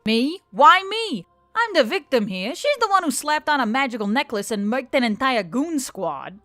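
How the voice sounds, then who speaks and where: Chirpy, animated adventurer woman, acted by a narrator. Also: high voice, normal speed, no echo, soft background music, pitched above the performer's own voice. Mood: indignant protest. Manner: chirpy, exclamatory. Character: cheerful, chirpy female adventurer, playfully indignant.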